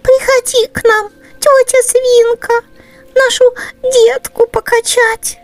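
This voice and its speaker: A woman's voice: very high voice, little pitch movement, slow pace, faint music behind, pitched above the performer's own voice, full character voice. A woman voicing an anxious mother mouse in a storybook, pleading in a sweet sing-song way.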